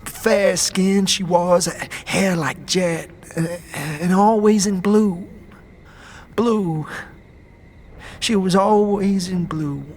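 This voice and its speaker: A man playing a broken, frightened, simple-minded vagrant in an audio drama, stammering and halting as he muddles through, speaking of himself in the third person. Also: high voice, a little mellow, a little hiss or hum, exaggerated voice. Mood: wistful.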